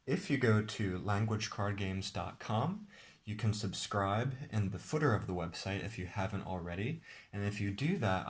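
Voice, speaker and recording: A man, the game's designer, walking viewers through how to play his card game in a relaxed, explanatory way. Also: lowish voice. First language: English. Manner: relaxed, explanatory, with occasional restarts. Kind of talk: casual tabletop game demonstration.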